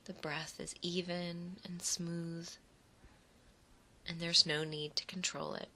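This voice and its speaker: A woman leading a guided imagery meditation in a soothing, unhurried manner, speaking in short phrases with pauses between them. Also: lowish voice, flat delivery, sharp tone, slight background noise. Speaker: guided relaxation narrator.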